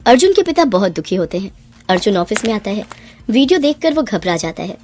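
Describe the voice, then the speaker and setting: A woman narrates a scripted children's moral story in a storyteller's dramatic style. Her voice sits at an average female pitch, with music playing underneath. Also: very animated, a little fast, a little mellow.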